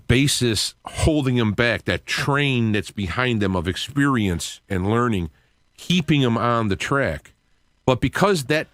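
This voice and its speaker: An older man, around sixty, hosting a radio show with an earnest, reflective, unscripted monologue in halting phrases. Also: mid-range voice, no room sound. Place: cluttered home radio studio, indoors. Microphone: close studio microphone with headset.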